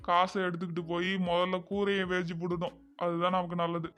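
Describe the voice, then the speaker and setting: A man voicing a poor, hardworking fisherman father in an animated story, in earthy speech. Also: high-pitched, fairly quick, smooth, faint music behind. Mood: resigned. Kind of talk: cartoon dialogue in colloquial rural speech.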